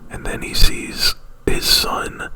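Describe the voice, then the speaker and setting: A man whispering an ASMR retelling of a movie plot right up at the mic, in a halting, rambling, informal way. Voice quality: breathy whisper. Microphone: very close to the mic.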